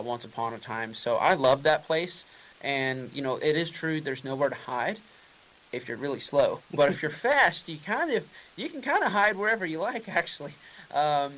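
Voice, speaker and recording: A man's voice: mid-range voice, fairly bright tone, a little hiss or hum, poor audio. A man, a race car driver, answering press questions in a casual, rambling way with many fillers and restarts.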